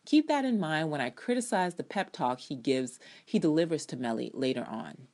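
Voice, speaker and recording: A woman with a low-pitched, very expressive voice reads her own review aloud as a dramatic piece. She is opinionated and sardonic, slipping from scripted lines into casual, salty asides with theatrical emphasis. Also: normal speed.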